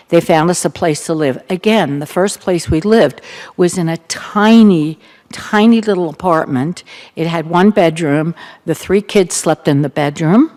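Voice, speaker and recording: An older woman with a Baltimore accent, the keynote speaker, recounting her family's past in an earnest, reflective talk with gentle humor. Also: low-pitched. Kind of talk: personal testimony talk.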